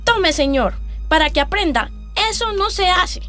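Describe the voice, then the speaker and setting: An innocent little Colombian girl in a radio soap. The voice is very high and childlike, with music clearly behind it. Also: animated, moderate pace, a bit bright. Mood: scolding. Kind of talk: radio soap opera acting.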